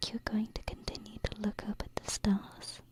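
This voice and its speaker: A woman playing a gentle, affectionate alien princess in an ASMR roleplay, whispering softly and tenderly into a close binaural mic with unhurried pauses. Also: mid-range voice, monotone, lightly acted. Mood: tender, encouraging. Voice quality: soft, breathy whisper. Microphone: close binaural microphone.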